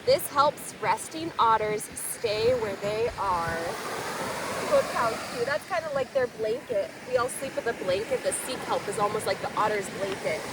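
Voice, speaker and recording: A woman reads a children's story in a high, lively voice with wide swings in pitch. She takes her time and explains things simply for little listeners. The mic sounds a little far from her. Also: normal speed. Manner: expressive, explains words simply to young listeners. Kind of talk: read-aloud of a children's picture book with asides. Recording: distant mic, noisy surf-like outdoor ambience.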